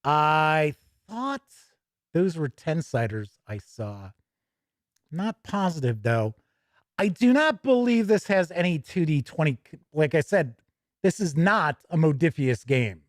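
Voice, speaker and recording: A man's voice: a little high, big pitch swings, slow pace, no echo, clean studio sound. A man hosting a gaming news show, moving between chatty asides and emphatic, presenter-style reading. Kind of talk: chatty news presenting, reading product write-ups and answering viewer comments.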